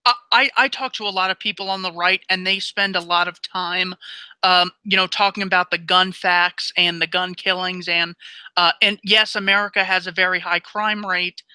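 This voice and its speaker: A male interview host with a very bright, crisp voice. He stammers through restarts and then drives his points home emphatically. The recording is dry, with no room echo. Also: high voice, steady tone, normal speed. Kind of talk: casual interview conversation.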